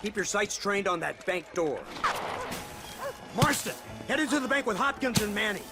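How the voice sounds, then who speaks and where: An actor playing a brisk lawman in a video game, giving clipped, commanding orders to his men. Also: sits high, lively intonation, loud music behind the voice. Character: brisk, commanding male lawman leading armed posse. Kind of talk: dramatic video game acting.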